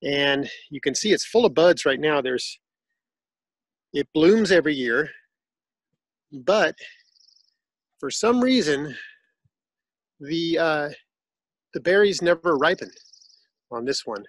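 Man giving a relaxed backyard garden tour. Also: on the high side, slow pace, pauses often, no room sound. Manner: relaxed, informative, some fillers and restarts. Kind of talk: casual guided tour.

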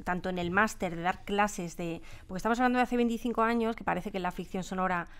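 A middle-aged woman with a Castilian Spanish accent, giving halting, explanatory answers in a studio interview. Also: mid-range voice, clean tone. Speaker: radio drama sound designer, interview guest. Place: radio studio.

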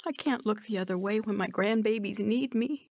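A woman's voice: medium pitch, moderate pace, a little mellow, no echo, studio quality, natural voice. An actress playing a caring, concerned grandmother in an audio drama. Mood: concerned, accepting.

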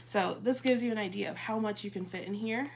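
A woman's voice: medium pitch, brisk, creaky. A woman in her thirties casually showing off a tote bag live from her living room, talking chattily with lots of ums. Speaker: bag consultant demonstrating products. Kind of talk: live product demo. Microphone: phone or webcam at table distance. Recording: noisy Facebook Live stream.

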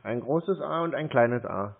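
A man posing as a call target on a long phone call, talking slowly and hesitantly, stalling with hums, fillers and small complaints. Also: mid-range voice, animated, vocal fry. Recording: tinny phone line.

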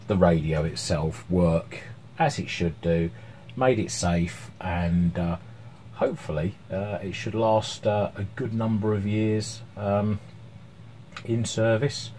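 Casting: man, middle-aged, deep pitch, hesitant hobbyist demonstrating at his bench, some background noise. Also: dark tone. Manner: hesitant, unscripted, thinking aloud. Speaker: electronics hobbyist presenting his repair. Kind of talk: informal workbench demonstration. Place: small cluttered indoor workshop at home. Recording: clear background noise, room ambience.

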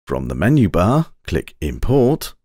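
A man with a low voice delivers scripted tutorial narration. His intonation moves widely while he gives measured, precise, step-by-step instructions. The recording is dry and close, as a voice-over. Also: huge pitch swings, dark tone, no room sound.

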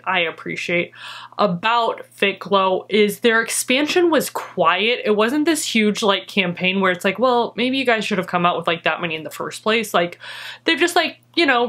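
A woman's voice: mid-range voice, fairly bright tone. A young woman in a small room chatting casually through a makeup review, upbeat and full of "like" fillers. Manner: chatty, filler-heavy, enthusiastic about products. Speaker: beauty vlogger. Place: small indoor room with holiday decor.

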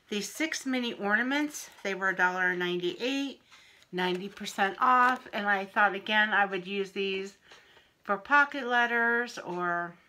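Wheezy, unhurried woman showing craft finds. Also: medium pitch, sharp tone, a little hiss or hum. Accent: Pennsylvania. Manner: chatty, unhurried, friendly show-and-tell. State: wheezy, clearing her throat. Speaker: craft haul vlogger. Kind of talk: casual haul video. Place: home kitchen, indoors. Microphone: camera in front at arm's length.

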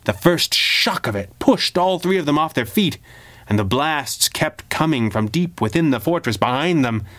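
A man reading a pulp adventure story as a dramatic, theatrical and expressive narrator. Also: on the high side, normal speed. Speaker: audiobook narrator.

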